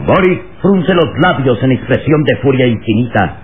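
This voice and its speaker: A man's voice: medium pitch, animated, normal speed. A man narrating an old radio serial in a dramatic style. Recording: tinny, very noisy old radio recording.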